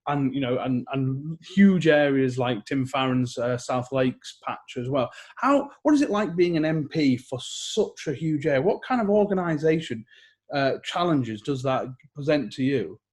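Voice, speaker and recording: Man, husky, mid pitch, podcast co-host, conversational interview questioning. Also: animated, measured pace, darkish tone.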